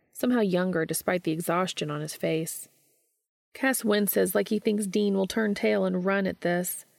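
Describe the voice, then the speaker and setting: Low-pitched, creaky woman narrator. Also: no room sound, studio quality. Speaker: audiobook narrator. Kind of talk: scripted fiction narration.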